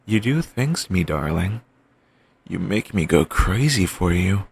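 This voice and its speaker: A man playing an obsessive, doting yandere boyfriend in an audio roleplay, talking softly and rambling on with endearments. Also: deep voice, lively intonation, vocal fry, breathy edge, heavily acted. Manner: soft, murmuring, slow and rambling endearments.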